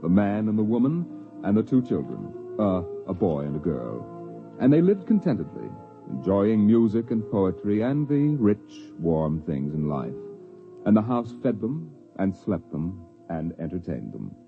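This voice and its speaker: An American man narrating a 1950s radio drama in a measured, portentous, dramatic manner. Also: on the low side, big pitch swings, gravelly. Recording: muffled 1950s radio, music behind.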